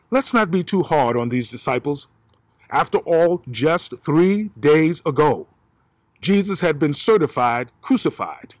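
A man in his fifties preaching a sermon outdoors as pastor, with dramatic emphasis and slow stresses, filmed on a camera held at arm's length. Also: on the high side, no echo. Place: outdoors by pine trees. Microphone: phone or camera at arm's length.

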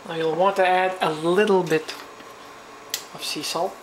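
Low-voiced woman over loud sizzling. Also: a little slow. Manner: relaxed, explains each step as she cooks. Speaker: cooking show host. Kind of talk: casual cooking demonstration. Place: home kitchen at the stove. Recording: home video with loud frying noise. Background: loud sizzling from frying.

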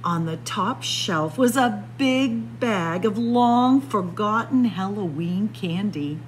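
A woman reading a picture book aloud to children as a storyteller, expressive and deliberate. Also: medium pitch, slight background noise. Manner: expressive, deliberate, addressing young listeners as friends. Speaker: librarian storyteller. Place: indoor library room with bookshelves.

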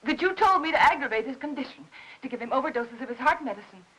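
High-voiced, fragile American housewife. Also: female voice, animated, sharp tone, slightly rough, heavily acted, low-quality recording. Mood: anguished. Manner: anxious, halting. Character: frightened, guilt-ridden housewife, fragile and overwrought. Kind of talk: 1950s Hollywood melodrama acting.

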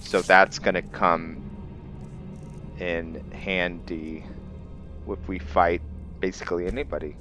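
A man's voice: sits low, fairly even intonation, takes it slowly, pauses often, sharp tone, faint music behind. A man giving casual video game commentary with some roleplay, rambling as he thinks aloud. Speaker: let's play host.

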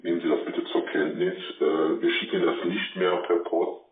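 A man's voice: low-pitched, little pitch movement, moderate pace. A man leaving a formal, insistent payment demand over the phone. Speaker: debt-collection caller. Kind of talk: phone message. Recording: tinny, echoey phone line.

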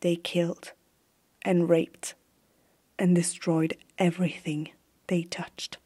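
Woman as fantasy warrior empress, ASMR roleplay, stern and zealous, mellow breathy voice, hushed dramatic delivery. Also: fairly low pitch, level tone, slow. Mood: grave, bitter.

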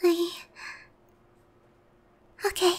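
A woman playing a naive, sheltered young harpy noblewoman in a roleplay ASMR, polite, hesitant and earnest in a girlish way. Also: drawn-out words. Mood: shy, hesitant.

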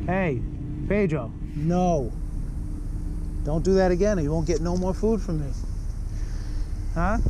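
A man's voice: on the high side, noisy background. A man casually narrating his fishing outdoors on a rocky shore, chatting to a pelican and recorded on a wearable camera. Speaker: fishing vlogger. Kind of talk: casual running commentary. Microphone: wearable point-of-view camera.

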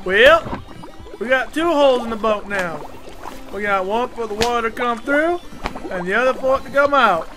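An actor playing a dim-witted, cheerful, childlike redneck fishing buddy with a Southern US accent in a comedic audio drama. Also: male voice, very high-pitched, over music. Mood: sheepish. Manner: slow-witted, naive, folksy.

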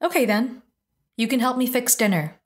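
A woman's voice: a little high, moderate pace, natural voice. A woman playing a loving, cheerful farm wife and mother in an audio drama, sweet and giggly in manner.

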